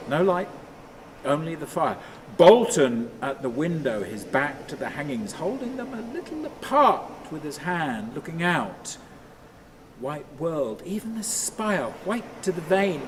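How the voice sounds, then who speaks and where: An actor playing a troubled, lonely man who tells himself stories in halting, repetitive fragments, in a radio play monologue. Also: high voice, a bit bright, a little hiss or hum, heavily acted.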